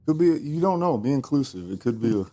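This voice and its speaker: A tired man talking in a laid-back, low-key way as he plays guitar on a live stream. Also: big pitch swings, fast talker, warm tone. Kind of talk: casual chat.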